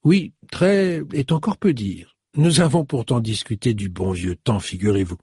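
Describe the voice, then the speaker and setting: A man's voice: mid-range voice, expressive, darkish tone, breathy edge, dry recording, clean studio sound. A man voicing a polite, composed middle-aged woman in an audiobook, in a slightly raised voice. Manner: courteous, measured.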